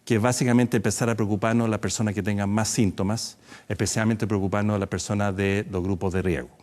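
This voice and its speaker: Guy, fairly low voice, calm doctor explaining stuff in a tv studio. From Chile. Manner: measured, explanatory, with hesitations. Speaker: guest doctor. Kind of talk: studio TV interview.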